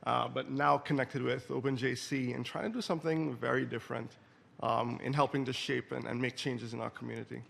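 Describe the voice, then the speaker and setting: A man's voice: mid-range voice, level tone, a little fast, vocal fry, a bit bright. A man from Trinidad and Tobago introducing himself as a panelist at a conference, with easy laughing asides. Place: conference hall.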